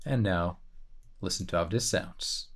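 Man, low voice, tutorial host, casual, conversational, thinking aloud, playful asides. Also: measured pace, creaky, a bit bright.

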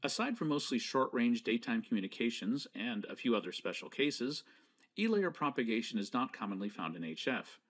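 Lively-pitched man narrating a scripted lesson. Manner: measured, didactic, precisely enunciated. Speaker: presenter. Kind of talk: scripted educational narration over slides.

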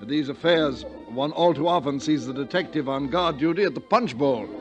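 Man as pompous, blustering old colonel, highish voice. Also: moderate pace, highs cut off, over music, close to an everyday voice. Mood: pompous, chuckling. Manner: florid, orotund, chuckling. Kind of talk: 1940s radio acting.

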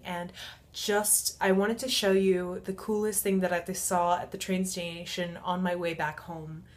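A woman in her twenties chatting casually to camera in a halting, informal, self-correcting way, as a book vlogger. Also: medium pitch. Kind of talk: casual vlog chat. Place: small room at home.

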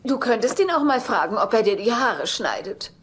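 A woman's voice: fairly high pitch, big pitch swings, normal speed. An actress playing a caustic, self-centred older mother in a sitcom dub, dry, cutting and falsely sweet. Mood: cool, cutting. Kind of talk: German sitcom dub acting. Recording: clear background noise.

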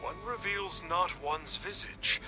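A man's voice: sits high, takes it slowly. A man playing a pompous masked charlatan posing as an immortal sage in a video game, speaking in grand archaic phrases. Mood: lofty, assured. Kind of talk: scripted video game acting. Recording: loud background music.

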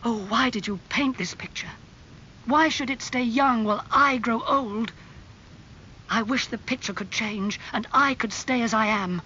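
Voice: mid-range voice, measured pace, long pauses, sharp tone, dull audio, a little hiss or hum. A narrator playing an anguished, vain young man. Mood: bitter, impassioned. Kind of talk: dramatised audiobook dialogue.

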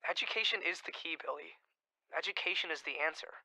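A man's voice: fairly high pitch, fast talker, natural voice, rough recording. An actor playing a pragmatic, persuasive older brother, a frank young man in a radio drama. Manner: frank, urging.

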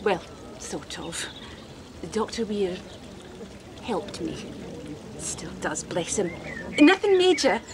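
A woman's voice: medium pitch, big pitch swings, slow pace, pauses often, noisy background. An actress playing a chatty, eccentric woman, prim and confiding, in a radio drama.

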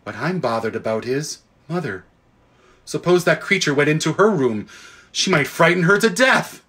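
An earnest, worried schoolboy from a children's story, acted by a male narrator. He speaks urgently, his pitch jumping about, with a slightly husky tone. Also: animated, normal speed, bright, forceful tone, full character voice. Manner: urgent, pleading.

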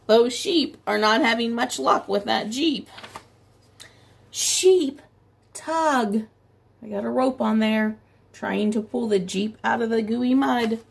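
A middle-aged woman reads a picture book to small children in a fairly high voice with noticeable vocal fry. Her pace is slow, with story phrases drawn out expressively and simple explanations added like a preschool teacher. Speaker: preschool teacher reading aloud. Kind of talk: picture-book read-aloud for young children. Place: small room at home, indoors by a window.

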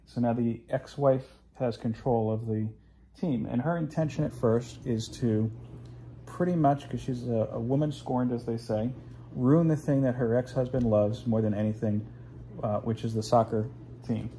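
A man's voice: mid-range voice, normal speed, warm tone, slight background noise, rough recording. A man in his forties, hosting a casual home video, talking in a rambling, chatty way with plenty of fillers. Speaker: host. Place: living room at home, indoors.

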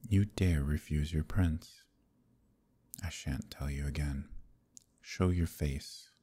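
A man playing a proud, possessive fae prince in an intimate ASMR roleplay, husky and breathy, with measured, courtly speech that dips into whispers. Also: extremely low pitch, mellow, exaggerated voice. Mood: vexed, menacing. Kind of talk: ASMR roleplay, intimate archaic theatrical acting.

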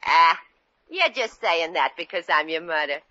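A woman's voice: sits low, expressive, moderate pace, muffled recording, loud music behind the voice. An actress playing a crooked, bossy, doting elderly mother in 1940s radio comedy, with colloquial, comically exaggerated delivery on an old recording. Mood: scheming.

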